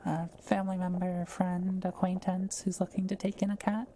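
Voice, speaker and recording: A woman playing a kind, curious forest witch in an intimate audio roleplay, speaking gently and thoughtfully with polite, measured phrasing. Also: lowish voice, flat delivery, a little hiss or hum, close to an everyday voice. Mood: mildly concerned. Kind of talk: intimate scripted audio roleplay addressed to the listener.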